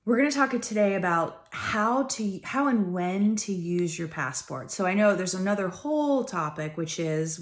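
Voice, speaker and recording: A woman vlogger explaining something step by step to her viewers in a casual, chatty way, restarting phrases as she goes. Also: medium pitch. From United States. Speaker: travel vlogger.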